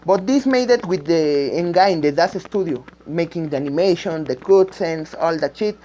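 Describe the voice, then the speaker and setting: A woman who is a native Spanish speaker, talking off the cuff with a Spanish-speaking accent, haltingly searching for words and repeating phrases. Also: fairly low pitch, animated, mellow, slight background noise. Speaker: amateur game creator. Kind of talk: unscripted rambling vlog talk. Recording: dull lo-fi microphone sound.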